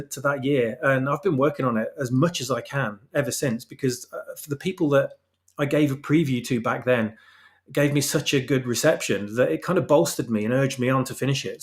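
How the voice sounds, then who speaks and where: A man from the United Kingdom chatting casually as an interview guest, rambling and self-deprecating about his hobby writing. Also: medium pitch. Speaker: guest, hobby fan-fiction writer.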